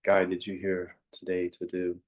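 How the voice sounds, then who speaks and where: Low, even-toned male meditation guide. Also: dull audio. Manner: unhurried, reflective, questioning, spoken in short phrases. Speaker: meditation teacher.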